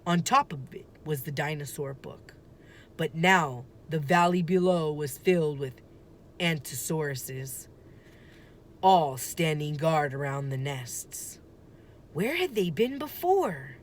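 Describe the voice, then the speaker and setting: A woman reading a children's story aloud like a teacher, with deliberate, dramatic phrasing and emphasis. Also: low voice, animated.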